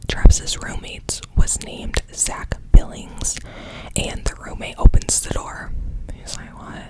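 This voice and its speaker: Soft-whispering chatty true crime storyteller. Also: takes it slowly, low-quality recording.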